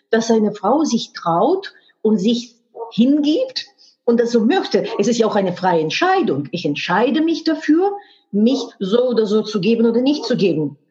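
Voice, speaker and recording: A woman's voice: fairly high pitch, warm tone. A woman speaking a language that is not her first, a guest in a candid conversation, talking frankly and emphatically with earthy expletives and restarting as she searches for words. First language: not German. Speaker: guest, sex educator and hypnotherapist. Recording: dull, muffled audio.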